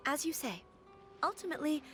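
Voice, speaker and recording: An actress playing a gentle, polite young noblewoman in a video game, earnest and hesitant in her formal speech. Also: sits high, full character voice. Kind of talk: video game cutscene acting.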